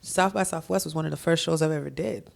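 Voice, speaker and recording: A young adult woman from Haiti, a guest on a podcast, telling stories in an animated, profane way over a headset mic in a dark studio. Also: low-pitched, fast, creaky. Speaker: guest, hip-hop artist. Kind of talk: casual podcast interview. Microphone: headset with boom mic.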